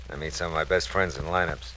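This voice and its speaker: An actor playing a tough, wisecracking private detective in 1950s radio drama, with needling banter. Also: male voice, extremely low pitch, pushed and bright, full character voice, rough recording. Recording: old 1950s radio recording, faint noise.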